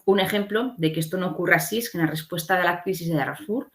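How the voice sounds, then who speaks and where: A woman's voice: fairly low pitch, fast. A woman from giving an explanatory slide lecture as a conference speaker, often adding short checking tags.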